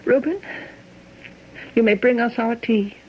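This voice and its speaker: A woman's voice: a little high, unhurried, heavy background noise, natural voice. A woman playing a devoted, grieving wife in a radio mystery, genteel and emotional, stubbornly refusing to give up hope. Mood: wistful, anguished. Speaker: radio drama actor. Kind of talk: old-time radio mystery acting. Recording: muffled old radio drama recording.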